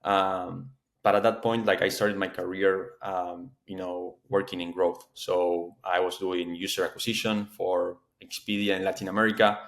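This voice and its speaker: A man, a startup founder speaking as a guest in a casual podcast interview and talking in a measured way with many fillers and restarts. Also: deep voice, flat delivery, a bit bright. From Argentina.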